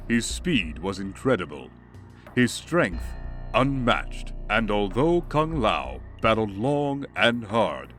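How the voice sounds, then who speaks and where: A man reading dramatic scripted narration in a grave, deliberate way. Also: lowish voice.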